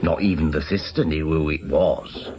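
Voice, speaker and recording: Very deep, gossipy British caretaker. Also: male voice, dark tone. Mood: confiding. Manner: rambling, confiding, unhurried. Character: gossipy working man, chatty clinic caretaker. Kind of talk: naturalistic radio drama acting.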